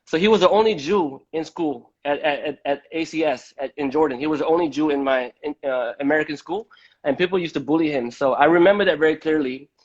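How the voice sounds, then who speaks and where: A man from Malaysia with a slightly high voice hosts a live online talk. He speaks conversationally but haltingly, doubling back on words. The audio is dull and lo-fi. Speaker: host of a live debate. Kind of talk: conversational live-stream interview.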